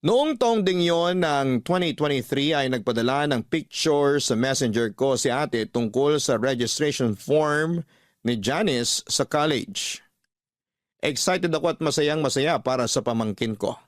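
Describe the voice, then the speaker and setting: A man with a Filipino accent narrating a first-person radio story in a calm, measured way. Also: mid-range voice, dry recording, studio quality.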